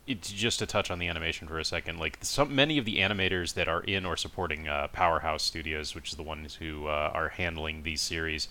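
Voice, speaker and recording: A man's voice: very deep voice, fast, few pauses, pushed and bright. A man co-hosting a casual podcast discussion, explaining his opinions in long, qualified sentences. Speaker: podcast co-host reviewing anime.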